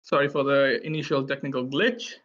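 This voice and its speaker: A man's voice: mid-range voice, fairly even intonation, fairly quick, a bit bright. A man from India, presenting a tech session in a friendly, chatty way with frequent filler sounds. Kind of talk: conference presentation. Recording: dull, lo-fi online audio.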